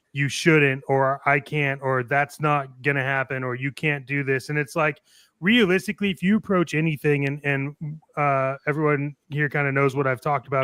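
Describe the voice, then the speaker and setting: A man's voice: mid-range voice, a bit bright, clean tone, dry recording. A man talking in an earnest, rambling way in a casual group chat, often acting out what other people say. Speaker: online radio show panelist.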